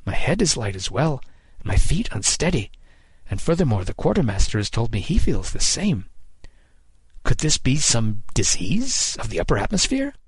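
A man's voice: mid-range voice, very animated, moderate pace, breathy edge, dry recording, full character voice. Man voicing a bold, wry pirate captain. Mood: uneasy. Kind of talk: audiobook character voice.

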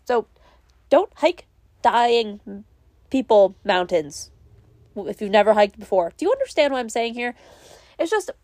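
A young woman hosts her own podcast, talking casually and wandering off on tangents with plenty of self-mockery. She has a cold, so her voice comes out stuffy and a little nasal. Her voice sits slightly high, and the recording is close and dry. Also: a little mellow, dry recording. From New England, US. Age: young adult. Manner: rambling, chatty, self-mocking. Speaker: podcast host. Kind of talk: casual solo podcast chat.

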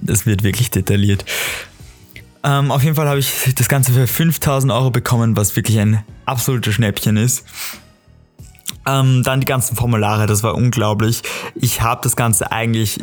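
A teenage man with an Austrian accent chats casually on a solo podcast, telling stories in an emphatic, rambling, excitable way, with music behind him. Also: lowish voice. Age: teens, exchange student. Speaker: podcast host. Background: clearly audible music.

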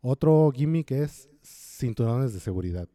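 A man, co-hosting a casual podcast about horror films and explaining things at length in a relaxed way, with plenty of filler words. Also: medium pitch, measured pace. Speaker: podcast co-host.

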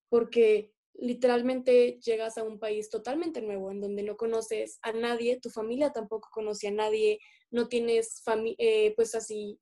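A young woman from Colombia talks through a casual podcast in an earnest, filler-heavy way. Her voice sits a little high with a soft, mellow tone. It sounds like a remote call recording. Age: young adult. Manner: chatty, earnest, with many fillers. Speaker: podcast co-host.

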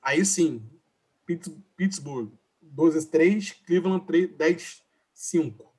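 A man's voice: on the high side, slow, darkish tone, slightly rough, rough recording. A Brazilian man hosting a casual sports podcast, blunt and joking as he moderates. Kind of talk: casual moderating and game chat.

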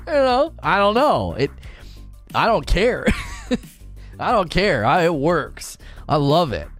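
A man's voice: high voice, very animated, takes it slowly, pauses often, faint music behind. A man hosting a casual livestream talk show, chatting and answering viewers with rambling enthusiasm and frequent repeats. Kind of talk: casual livestream chat reading viewer comments.

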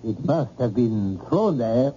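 An actor playing a polite, hesitant French cooking teacher with a French accent and formal manner in an old-time radio drama. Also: male voice, mid-range voice, lively intonation, slow, warm tone, a little hiss or hum. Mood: puzzled, apologetic. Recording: muffled old radio recording.

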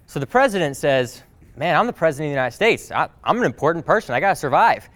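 A young man giving a lively, joking talk to a class in a meeting room. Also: a little high, fast. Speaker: speaker.

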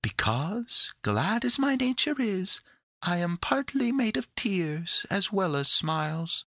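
A man's voice: sits high, big pitch swings, takes it slowly, vocal fry, sharp tone, dry recording, clean studio sound, pitched above the performer's own voice, full character voice. A man voicing a tiny, sweet, cheerful female fairy for a storybook, with a coaxing, sing-song manner. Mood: sunny, reassuring. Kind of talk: storybook character voice.

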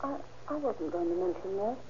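A woman's voice: medium pitch, lively intonation, a little fast, mellow, slight background noise, close to an everyday voice. A woman playing a mild housewife in a 1930s radio drama, heard on an old muffled recording. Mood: defensive, placating. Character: mild, defensive housewife with a quarrelsome husband.

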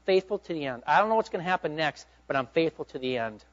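A middle-aged man preaches with a notably high voice, pressing his points with emphatic bursts and then falling to hushed asides. The recording is dull and lo-fi, with the top end muffled. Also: animated, fast talker. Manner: emphatic preaching, swinging between loud urging and hushed asides. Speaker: pastor. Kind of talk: sermon. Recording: dull lo-fi sound.

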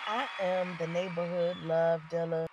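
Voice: medium pitch, little pitch movement, unhurried, dull audio, heavy background noise. Stuffy-nosed host talking in a car. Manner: rambling, profane, playful. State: stuffy nose. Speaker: podcast host. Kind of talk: casual solo podcast monologue. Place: inside a parked car at night. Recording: music playing behind the voice.

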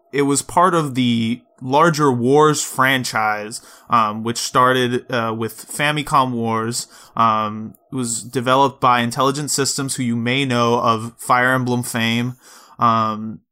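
A man's voice: mid-range voice, sharp tone. A man hosting a gaming podcast, chatting in a casual, informal and explanatory way. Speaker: podcast host. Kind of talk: casual podcast chat about retro video games.

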